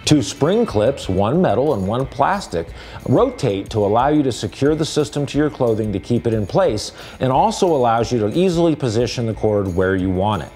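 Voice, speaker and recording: A man delivers a scripted product review as a presenter. His mid-pitched voice moves with some lively inflection, and music plays behind him. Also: moderate pace.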